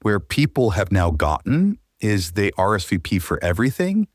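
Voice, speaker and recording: A man's voice: on the low side, big pitch swings, normal speed, dry recording, clean studio sound. A man talking casually as a podcast guest, informal and matter-of-fact. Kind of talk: casual conversational podcast talk.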